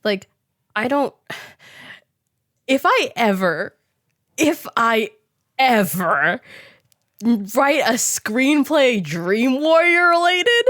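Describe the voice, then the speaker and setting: A young woman hosting a podcast, chatting casually in a giggly, animated way peppered with "like". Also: high-pitched, drawn-out words, long pauses, dry recording, clean studio sound. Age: young adult. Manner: giggly, animated, talks with frequent "like". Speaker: podcast host. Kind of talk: casual conversational interview chat.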